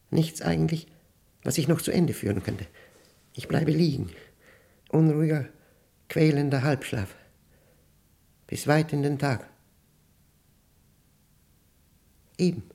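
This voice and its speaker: A man acting an obsessive, unravelling professor who dictates his diary in a German radio play, with emphatic, deliberate diction and short clipped phrases. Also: fairly high pitch, creaky, dark tone, slightly rough, heavily acted. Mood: anxious, tormented. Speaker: radio drama actor.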